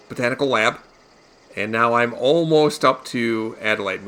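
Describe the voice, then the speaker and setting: A male gaming host gives casual let's play commentary. His voice is creaky and gravelly with a cold, yet bright and forward, over faint game music. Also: mid-range voice. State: fighting a cold. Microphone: USB desktop condenser microphone.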